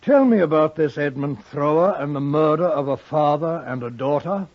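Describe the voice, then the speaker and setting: A man plays a stern magistrate in a vintage radio play, skeptical and probing. The voice is fairly high, on a dry, muffled old recording. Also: moderate pace, no room sound, lightly acted. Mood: stern questioning. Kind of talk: 1950s radio drama acting.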